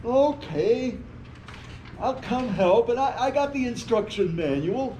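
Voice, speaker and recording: Someone reading a busy big brother, mellow and echoey. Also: mid-range voice, expressive, slow pace. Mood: helpful. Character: busy, bossy older brother absorbed in building Lego. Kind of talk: staged play reading. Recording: muffled, echoey room with faint noise.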